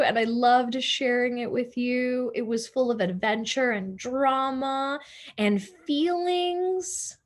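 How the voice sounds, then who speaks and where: Slow-paced woman reading a children's storybook. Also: a little high. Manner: animated, addresses young listeners with questions and asides. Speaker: teacher reading aloud to children. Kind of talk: expressive children's storybook read-aloud.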